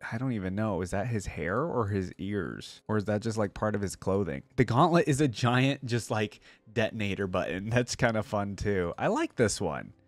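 A man's voice: fairly low pitch, expressive, normal speed, hardly any pauses, no echo, faint music behind. A man, around his thirties, giving casual, chatty reaction commentary and thinking aloud informally into a close desk microphone. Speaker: reaction video creator. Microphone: close desk microphone with headset.

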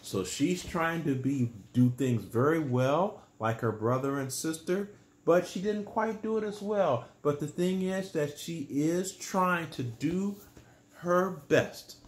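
A man in his sixties reading a children's bedtime story aloud in a small room, pausing to explain things simply and patiently. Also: a little high, roomy sound.

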